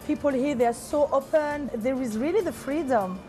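A woman's voice: high-pitched, normal speed, over music. A woman chef, talking in a casual interview. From France.

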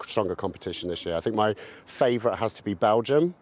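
A man's voice: fairly low pitch, animated, fast talker, a little mellow. A man with an English accent, chatting casually as a fan in a street interview. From southern England. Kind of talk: casual chat.

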